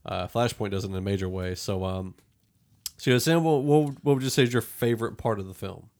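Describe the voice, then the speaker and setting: A man's voice: on the low side, fairly quick, darkish tone. A man hosting a casual movie review podcast, talking in an opinionated, conversational way. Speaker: podcast host.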